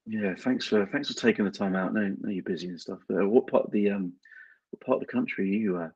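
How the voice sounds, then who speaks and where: Man hosting a podcast, husky voice, rather low, dry dull remote call sound, halting. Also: darkish tone, no echo. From England. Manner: halting, many restarts and fillers. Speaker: podcast host. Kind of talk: casual interview chat.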